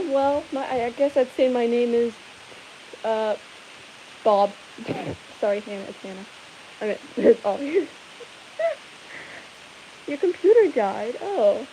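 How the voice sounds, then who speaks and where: A woman's voice: high voice, takes it slowly, pauses often, warm tone, rough recording. Giggly young woman on a hissy stream. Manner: giggly, rambling, chatty with viewers. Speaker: livestream host answering viewer questions. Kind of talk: casual live Q&A chat. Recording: hissy background noise.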